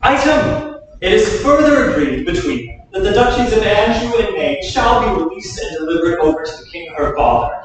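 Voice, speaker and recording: A man reading as a polished courtly nobleman in a staged Shakespeare reading. The voice runs fairly high, and a long hall reverb trails it from a distance. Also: normal speed, lightly acted. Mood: formal. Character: Marquess of Suffolk, smooth courtly nobleman. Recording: echoey hall, mic far off.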